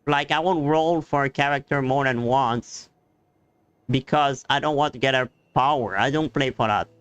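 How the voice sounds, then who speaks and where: Clear-voiced male gaming streamer. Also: mid-range voice, a bit bright, no room sound. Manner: non-native English grammar, halting explanations. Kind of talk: casual live game commentary. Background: faint game music.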